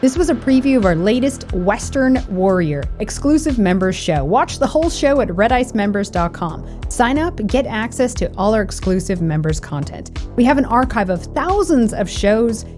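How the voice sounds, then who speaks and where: A woman's voice: fairly high pitch, sing-song, normal speed, few pauses, a little mellow, faint music behind. A woman co-host reading scripted news commentary with pointed emphasis. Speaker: show co-host.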